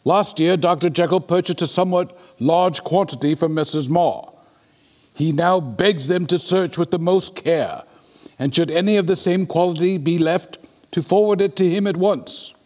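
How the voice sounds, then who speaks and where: Man playing a higher-voiced, sceptical Victorian gentleman. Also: natural voice. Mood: reading aloud. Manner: measured, formal, questioning. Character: sober, sceptical Victorian gentleman investigating calmly. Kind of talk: dramatic audio play acting.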